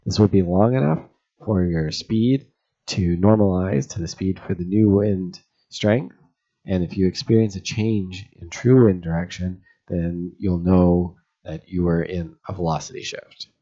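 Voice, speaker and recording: A man with a very low, mellow voice talks through a sailing lesson in a calm, even, methodical way. The voice-over sounds a little dull and lo-fi. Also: measured pace. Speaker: sailing instructor. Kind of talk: scripted explainer narration.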